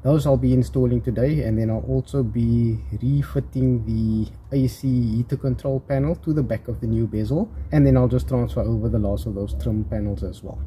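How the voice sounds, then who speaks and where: A man's voice: medium pitch, steady tone, moderate pace, few pauses, warm tone, slight background noise, rough recording. A man with a South African accent giving a casual, hands-on DIY tutorial, talking informally to his viewers. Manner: informal, addresses viewers as guys. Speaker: presenter of a DIY installation tutorial.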